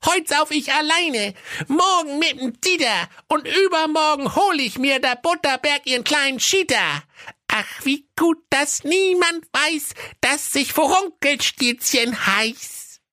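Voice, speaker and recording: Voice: high-pitched, expressive, takes it slowly, sharp tone, no echo, clean studio sound, heavily acted. A performer playing a small, ugly, wicked gnome doctor, bossy and gloating, in a comic fairy tale audio play. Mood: gleeful. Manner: pushy, scolding.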